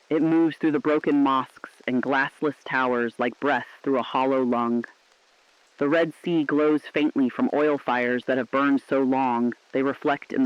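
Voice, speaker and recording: Dull, band-limited audio carries a man acting as a solemn radio correspondent with a medium-pitched voice. He reports humanity's collapse in grave, deliberate, poetic scripted narration. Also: smooth, no room sound, lightly acted. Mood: bleak, reflective. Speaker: radio broadcaster.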